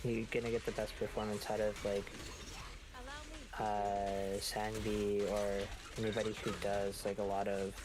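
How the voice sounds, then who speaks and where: A man's voice: fairly low pitch, monotone, a little slow, background noise. A man in a casual gaming chat, explaining things in a hesitant way. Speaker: co-commentator giving game advice.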